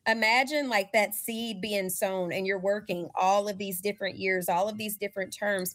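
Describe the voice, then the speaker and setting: A woman's voice: medium pitch, dry recording. A young woman with Southern roots, speaking earnestly as a community organizer on a panel call, with frequent fillers. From Southern United States.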